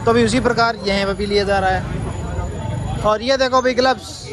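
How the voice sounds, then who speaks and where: Young Indian male travel vlogger, high voice, chatty walk-and-talk, handheld mic on a noisy street. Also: steady tone, normal speed, a crowd in the background. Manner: chatty, pointing things out, repeats "theek hai" and "dekho bhai". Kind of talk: walking market tour vlog. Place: busy outdoor street market. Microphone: handheld camera mic.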